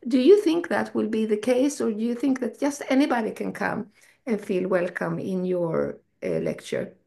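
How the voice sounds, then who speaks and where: Casting: woman host, gravelly edge, eager and gushing. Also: medium pitch, animated, moderate pace, mellow. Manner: enthusiastic, effusive. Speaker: festival host interviewer. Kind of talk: casual interview.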